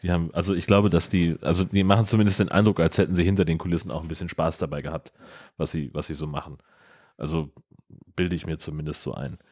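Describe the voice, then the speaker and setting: A German man with a fairly low voice co-hosts a casual film discussion. He talks with dry irony, in a conversational podcast style. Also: steady tone, fast talker, darkish tone. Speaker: podcast co-host.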